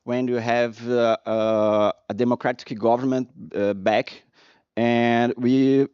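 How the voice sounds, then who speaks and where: A man from Brazil, speaking English on a panel in a halting way with restarts and fillers. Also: medium pitch, darkish tone, no room sound. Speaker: panel speaker interpreting for a colleague. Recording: dry, dull lo-fi conference recording.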